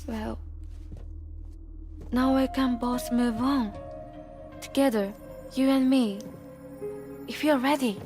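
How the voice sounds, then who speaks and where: An actress playing a tender, teasing young woman who speaks softly and slowly in a video game cutscene. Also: fairly high pitch, steady tone, over music. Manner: soft, slow and affectionate.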